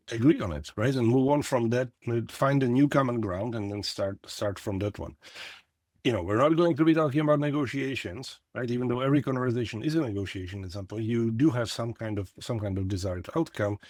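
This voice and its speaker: A middle-aged man, hosting a relaxed conversation in a thoughtful, lightly joking manner. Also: medium pitch, dry recording, studio quality. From Czechoslovakia. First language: Czech. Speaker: podcast host. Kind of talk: casual conversational interview.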